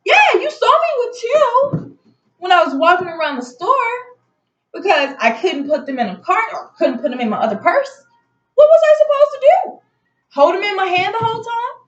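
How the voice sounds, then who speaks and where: High-voiced woman storyteller, echoey room. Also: moderate pace. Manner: animated, indignant retelling with acted-out dialogue. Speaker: storytelling vlogger. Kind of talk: casual personal storytelling. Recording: muffled audio in echoey room.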